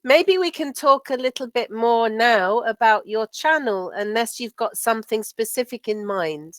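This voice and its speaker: A woman teaching English in a casual, chatty conversation, slightly croaky from a sore throat and often checking with tag questions. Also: a little high, animated, moderate pace, dry recording. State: sore throat, recovering from flu. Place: video call from home.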